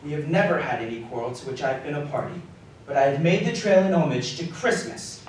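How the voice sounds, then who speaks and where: A man playing a cheerful, warm-hearted nephew in a live radio drama, earnest, hearty and declamatory. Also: fairly high pitch, lively intonation, fast, close to an everyday voice. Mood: pleading, warm. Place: live community theatre performance. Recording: roomy live stage, distant mic, faint noise.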